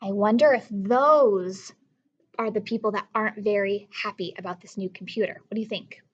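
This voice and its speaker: A woman teacher reading a story aloud to children, expressively, pausing to instruct and question her young listeners directly. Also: mid-range voice, slightly rough, muffled recording. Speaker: elementary school teacher.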